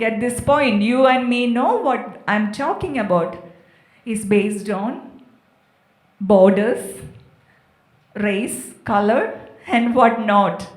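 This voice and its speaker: A woman from Kerala speaks reflectively on a panel, pausing between short phrases. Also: fairly high pitch. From Kerala, India. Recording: echoey, amplified festival venue.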